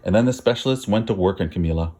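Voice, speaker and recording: A man's voice: lowish voice, fast, warm tone. A man narrating a children's story expressively, with dramatic, emphatic phrasing. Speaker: storybook reader.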